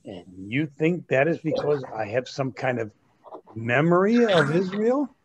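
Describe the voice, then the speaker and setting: A man acting as a doubtful teenage boy with a very animated, swinging pitch. He asks things hesitantly in a staged read-through dialogue, and the sound is dull, like a lo-fi video call. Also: unhurried, background noise. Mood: thoughtful, questioning. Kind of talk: amateur reader's theatre dialogue over video call.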